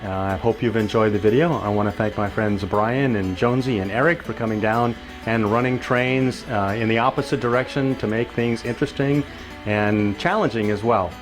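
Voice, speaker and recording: A man's voice: fairly low pitch, moderate pace, over music. A man hosting a casual, explanatory tour of a hobby layout. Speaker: host giving a tour of a model railroad layout.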